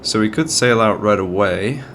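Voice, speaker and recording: A man's voice: lowish voice, expressive, moderate pace, fairly bright tone, slight background noise. A man with a cold and a slightly nasal voice, thinking aloud as he plays a strategy game and explains each move. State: has a cold, sounds nasal. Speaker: gaming channel host. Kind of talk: casual strategy game commentary.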